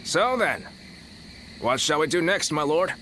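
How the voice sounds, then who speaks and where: Loyal male warrior, bright forceful tone, casual, mid pitch, game scene with faint ambience. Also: big pitch swings, unhurried, a little hiss or hum, heavily acted. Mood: relieved. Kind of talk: video game dub acting.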